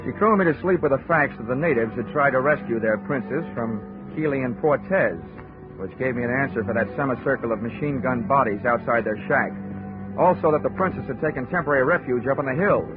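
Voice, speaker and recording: A man's voice: medium pitch, lively intonation, brisk, lightly acted. An actor playing a wry, wisecracking insurance investigator who narrates his own case in a 1940s radio drama. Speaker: narrator and lead detective. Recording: tinny 1940s radio broadcast with music.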